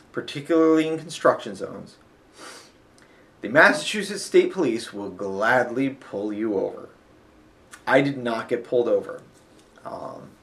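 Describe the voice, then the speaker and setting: Rambling, sniffly man telling a road story. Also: mid-range voice. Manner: rambling, chatty, with fillers. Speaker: vlogger. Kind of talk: casual chat about a road trip. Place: hotel.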